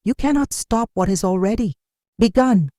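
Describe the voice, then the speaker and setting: A narrator voicing a sinister cloaked stranger in a folktale, taunting and cryptic, making grand pronouncements with eerie calm. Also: medium pitch, moderate pace, dry recording, studio quality, voice pushed up, natural voice. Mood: ominous. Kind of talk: dramatic folktale storytelling.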